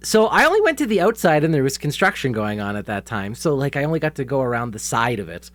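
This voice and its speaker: A man's voice: medium pitch, sing-song, fast, fairly bright tone. A man whose first language is French, interviewing a guest in a chatty, casual way full of "like". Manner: chatty, filler-heavy with "like".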